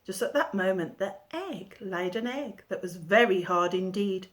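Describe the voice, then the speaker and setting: A woman teacher reading a children's storybook aloud in an expressive storytelling manner. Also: medium pitch, measured pace.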